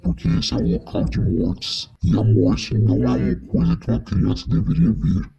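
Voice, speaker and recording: A man voicing a grave, protective animatronic bear in a slow, gravelly growl, as in a horror story. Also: lowish voice, lively intonation, dark tone, muffled recording, pitched below the performer's own voice.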